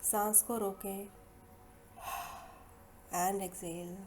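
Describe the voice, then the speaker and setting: Mellow Indian woman guiding meditation. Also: mid-range voice, level tone. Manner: soothing, unhurried, with long pauses between phrases. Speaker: guided meditation and hypnosis leader. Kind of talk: slow guided meditation narration. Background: soft meditation music.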